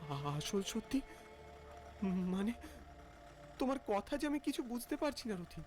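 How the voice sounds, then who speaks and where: A man's voice: sits high, sing-song, a little slow, darkish tone, soft background music. A man playing a frightened man who narrates his own ghostly horror story in a dramatic manner. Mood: fearful, confused. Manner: dramatic, stammering when afraid. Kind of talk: scripted first-person horror storytelling.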